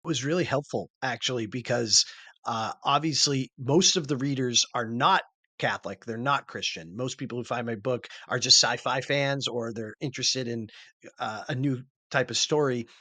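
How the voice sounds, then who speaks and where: A man's voice: mid-range voice, normal speed, sharp tone, dry recording. A man, the book's author, explaining earnestly in a casual interview.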